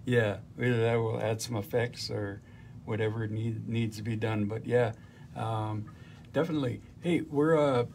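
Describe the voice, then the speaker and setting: A man's voice: on the low side, fairly even intonation, moderate pace, gravelly, darkish tone, a little hiss or hum. A man hosting a radio show, chatting in an easygoing, agreeable way with lots of fillers. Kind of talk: casual radio chat.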